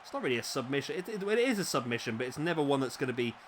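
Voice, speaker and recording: A man's voice: mid-range voice, very fast, a little hiss or hum. Man calling wrestling play-by-play. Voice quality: slightly raspy. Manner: excitable at big moves, chatty asides. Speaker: let's play commentator. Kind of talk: play-by-play game commentary.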